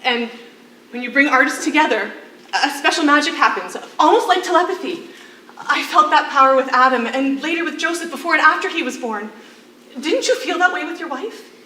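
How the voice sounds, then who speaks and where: A woman acting a determined, idealistic imprisoned artist in a stage play, speaking with emphatic, deliberate persuasion. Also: high-pitched, expressive, sharp tone. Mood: persuasive, idealistic. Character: determined, idealistic female artist prisoner rousing her companion. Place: theatre stage. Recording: echoey, faintly noisy, distant stage recording.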